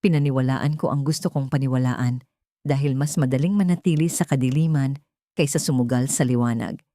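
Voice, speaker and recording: A remorseful rich man in a romantic audiobook, acted by a woman narrator. He pleads desperately in short, emphatic phrases. The voice is mellow and recorded dry. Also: very deep voice, fairly even intonation, a little fast, no echo.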